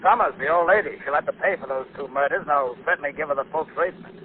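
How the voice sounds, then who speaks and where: An actor in 1940s American radio drama playing a brash, self-important schemer with breezy, slangy talk. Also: male voice, a little high, fast, phone-quality sound, close to an everyday voice. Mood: self-pitying bluster. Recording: thin, hissy 1940s radio broadcast recording.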